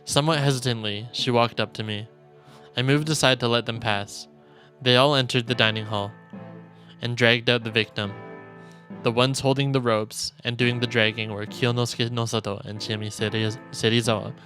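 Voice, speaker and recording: A man with a mid-pitched voice reads visual novel text aloud for a stream. He narrates dramatically and in a measured way, like a storyteller, with music softly behind him. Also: steady tone. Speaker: streamer reading a game aloud. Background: game music.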